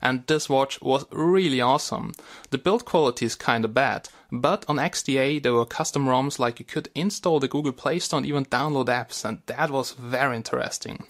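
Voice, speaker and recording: A man's voice: mid-range voice, gravelly, fairly bright tone. A man with a German accent and native German, giving a casual hands-on gadget review as a video host.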